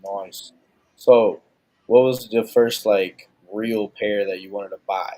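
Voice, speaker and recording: A relaxed man with a low voice co-hosts a casual podcast over a video call. His speech is slangy and joking, and he keeps the questions moving. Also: takes it slowly, dry recording, rough recording. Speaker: podcast co-host and father.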